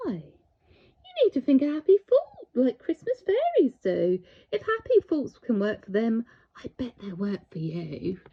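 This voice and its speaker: A woman reading a children's storybook aloud with theatrical, deliberate, expressive storytelling. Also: on the high side, creaky, highs cut off. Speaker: storybook reader.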